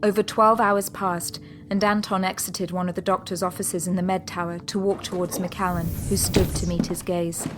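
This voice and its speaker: A woman performing as the solemn, measured narrator of a dramatic sci-fi audio drama. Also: fairly low pitch, flat delivery, background noise, natural voice. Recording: loud music and effects behind narration.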